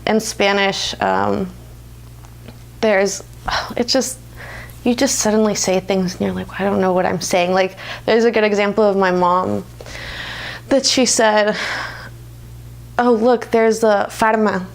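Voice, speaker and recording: A woman in her twenties answers interview questions in an American accent. Her voice has noticeable vocal fry and sits at a medium pitch. She talks in an informal, reflective way. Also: steady tone, slow, long pauses. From United States. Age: 20s. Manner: informal, often laughs while talking. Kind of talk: reflective, conversational personal interview.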